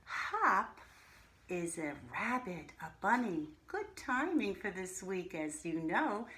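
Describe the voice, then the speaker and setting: A woman teaching a reading lesson to young children, pronouncing single words deliberately and speaking to the children directly. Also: medium pitch, big pitch swings, pushed and bright. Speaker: primary school reading teacher. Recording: roomy echo, faint background noise.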